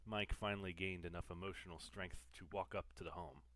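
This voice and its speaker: A male narrator reads a scripted audio drama. His voice sits fairly low, and the sound is close and dry with little room to it. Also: fairly even intonation, a little fast, fairly bright tone, dry recording.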